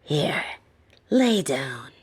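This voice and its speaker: A woman playing a soft-spoken, domineering lamia caretaker in a roleplay audio, teasing and coaxing with a condescending, softly menacing edge. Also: deep voice, very animated, extremely slow, slightly rough, exaggerated voice. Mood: soothing, coaxing. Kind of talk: scripted roleplay audio, intimate second-person address.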